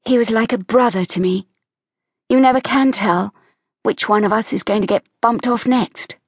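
A woman voicing a glamorous, daring young socialite who talks in a bright, breezy, teasing way. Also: fairly high pitch, moderate pace, slightly rough, no room sound, studio quality, pitched above the performer's own voice.

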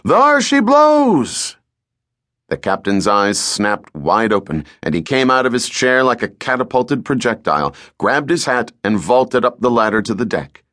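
A man with a fairly low voice reads a novel as a professional audiobook narrator. His delivery is scripted, measured and deliberate, with a dramatic storytelling feel. The sound is very dry, with no room around it. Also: expressive, a bit bright, no echo.

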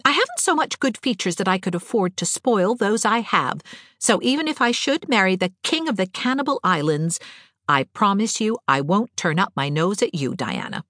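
A woman's voice: mid-range voice, sing-song, normal speed, fairly bright tone, no echo, studio quality, pitched above the performer's own voice. A woman voicing a cheerful, fanciful eighteen-year-old girl in a storybook, talkative, wry and whimsical. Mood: teasing. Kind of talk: audiobook character dialogue.

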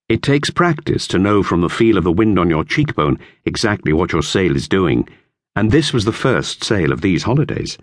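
A man narrating a classic children's novel in measured, expressive storytelling. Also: sits low, no echo, studio quality. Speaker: audiobook narrator.

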